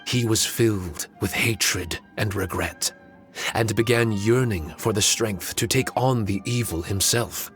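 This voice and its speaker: Old solemn spirit from an anime game, lowish voice, very polite n formal, bit of music. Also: male voice, fairly bright tone. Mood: solemn, reflective. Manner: formal, measured, earnest. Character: solemn, courteous ancient guardian spirit, male.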